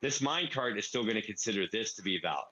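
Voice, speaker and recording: Man, slightly low voice, crisp bright edge, casual gaming walkthrough, explains step by step. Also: lively intonation, fast, dull audio. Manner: thinks aloud, step-by-step explaining. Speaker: player explaining a farm build.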